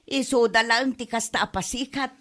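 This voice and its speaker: A woman's voice: on the high side, moderate pace, a bit bright, natural voice. A woman with an Ilocano accent playing a scornful gossip in a comedy drama, full of exclamations.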